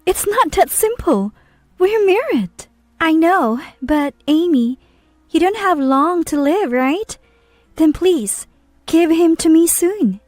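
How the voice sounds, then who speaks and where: A woman's voice: very high-pitched, animated, slow, darkish tone, no echo, pitched above the performer's own voice, heavily acted. A woman voicing a naive, pushy young mistress in a narrated story, pleading and insistent.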